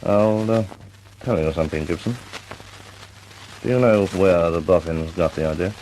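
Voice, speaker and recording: An actor playing an authoritative senior air force officer in an old radio drama, with a dry humor. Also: male voice, sits low, normal speed, a little mellow, slight background noise, natural voice, low-quality recording. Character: senior RAF air vice marshal, older man. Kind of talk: 1940s radio acting.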